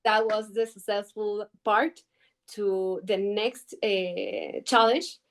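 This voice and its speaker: A young woman from Ecuador speaks English slowly, with an Ecuadorian Spanish accent. She is a native Spanish speaker and hesitates often, filling pauses with "uh" and "um" as she hunts for the right word. She answers questions as an interview guest. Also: mid-range voice, steady tone, vocal fry. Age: 20s. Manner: halting, searching for English words with many fillers. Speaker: guest trader. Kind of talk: interview answers over video call.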